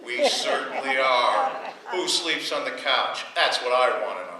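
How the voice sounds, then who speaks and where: A man's voice: medium pitch, big pitch swings, a little slow, crowd noise. An American man playing a wry, put-upon husband in an old domestic radio comedy, speaking with dry sarcasm. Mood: puzzled, sarcastic. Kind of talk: 1940s radio comedy acting and first-person narration. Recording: echoey, distant old radio recording, faint noise.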